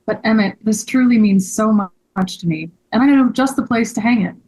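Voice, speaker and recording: A woman's voice: fairly high pitch, brisk, a little mellow, natural voice, rough recording. A woman playing an earnest, polite, grateful young male shop owner in a table read. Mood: grateful, pleased.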